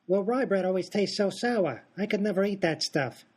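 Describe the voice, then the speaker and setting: An actor playing a pushy, opinionated sandwich-shop helper in a comedic radio play. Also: male voice, sits high, lively intonation, moderate pace, darkish tone, breathy edge. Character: meddling chatty male sandwich-shop helper.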